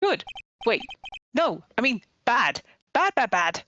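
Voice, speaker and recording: A woman's voice: on the high side, sing-song, slow pace, bright, forceful tone, dull audio, a little hiss or hum, exaggerated voice. A woman voicing an earnest, slightly exasperated young male rookie lawyer in a video game, plain-spoken and hesitant. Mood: exasperated, flustered.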